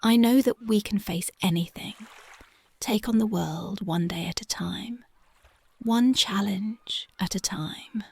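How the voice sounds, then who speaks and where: A woman playing a slow, gravelly whispering punk girlfriend. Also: mid-range voice, exaggerated voice. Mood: tender, dreamy. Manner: soft, often drifting into whispers. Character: tender young punk girlfriend, dreamy and devoted. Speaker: ASMR voice actress. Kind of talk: ASMR girlfriend roleplay.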